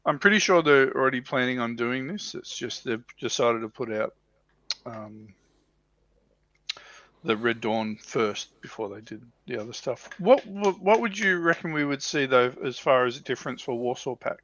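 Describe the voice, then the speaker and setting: Man, medium pitch, bright edgy tone, casual co-host chat, tentative, very dry slightly lo-fi sound. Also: animated, moderate pace, dry recording. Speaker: livestream co-host on tabletop wargaming show.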